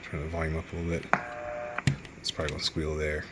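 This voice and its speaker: A man's voice: very deep voice, monotone, fast, noisy background. A man giving a casual, rambling, unscripted hands-on radio gear review in a matter-of-fact way. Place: radio bench, indoors.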